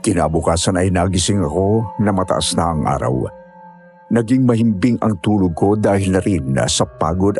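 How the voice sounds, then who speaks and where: A man with a husky voice reading scripted first-person narration for a horror story. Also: sits low, darkish tone.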